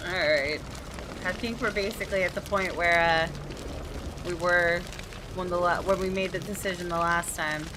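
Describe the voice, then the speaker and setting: A woman's voice: lowish voice, fairly even intonation, moderate pace, background noise. A young woman hosting a gaming channel, chatting informally to her viewers during a let's play. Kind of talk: casual let's play commentary with some read-aloud visual novel narration. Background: game music.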